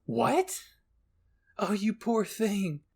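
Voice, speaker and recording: A man's voice: high voice, big pitch swings, extremely slow, creaky, darkish tone, husky, voice pushed up, heavily acted. A man voicing an exuberant, affectionate woman officer in an anime fan-fiction story. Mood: gushing, excited.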